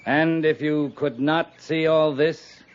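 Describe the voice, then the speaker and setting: An actor playing a steady, reasoning cavalry captain in a 1950s radio western, speaking in a measured, earnest way. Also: male voice, on the high side. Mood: earnest, solemn. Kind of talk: 1950s radio drama acting. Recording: dull 1950s radio recording.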